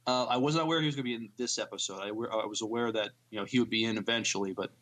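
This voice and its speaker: A man with a fairly low voice co-hosts a podcast. He talks through news items in an informal way, somewhat halting, catching on the starts of words. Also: a bit bright. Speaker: podcast co-host. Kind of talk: casual chat and news reading.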